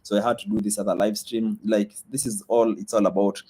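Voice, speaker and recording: A young man talks casually into a live stream. His voice sits on the low side, and his speech is loose, with plenty of fillers. His is Swahili. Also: flat delivery, brisk, dark tone, slightly rough. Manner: loose and chatty, many fillers, reads comments aloud. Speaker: vlogger hosting a live stream. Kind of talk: casual live-stream chat reading comments.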